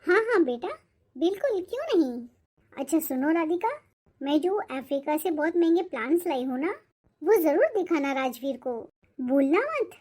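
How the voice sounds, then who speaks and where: A woman's voice: high voice, expressive, moderate pace, a little mellow. A woman voicing a showy middle-aged mother of a bride in a cartoon story, bossy in a sugary, boastful way. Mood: sweetly bossy. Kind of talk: Hindi cartoon moral-story voice acting.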